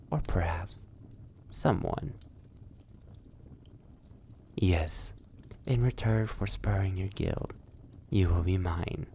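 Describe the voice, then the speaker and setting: A seductive vampire lord, played by a man, speaks very slowly in a dark, mellow murmur with a creaky edge. He is mockingly courteous and cruel, drawing out each taunt close to a whisper, as intimate ASMR roleplay. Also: deep voice, slight background noise, full character voice. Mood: seductive, persuasive. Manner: breathy near-whispers, drawn-out taunting, archly polite.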